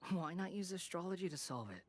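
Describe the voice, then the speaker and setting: A woman's voice: lowish voice, animated, brisk, slight background noise, close to an everyday voice. An actor voicing a cold, scornful, condescending young man in a video game, with a dismissive, sardonic manner. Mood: mocking.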